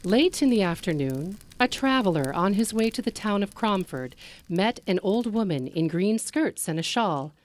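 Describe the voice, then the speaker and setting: A woman telling a story live, narrating in an expressive, measured way with dramatic delivery. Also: mid-range voice.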